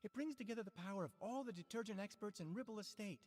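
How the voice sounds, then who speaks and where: A woman's voice: mid-range voice, fast talker, a little mellow, natural voice. A woman playing a proud, earnest, easily indignant character in a video game, explaining things emphatically.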